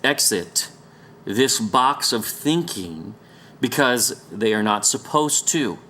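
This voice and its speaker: A man's voice: medium pitch, pushed and bright. A man presenting an explainer in an argumentative, sarcastic and emphatic conversational manner. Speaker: presenter of a documentary series. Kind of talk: argumentative explainer narration over maps.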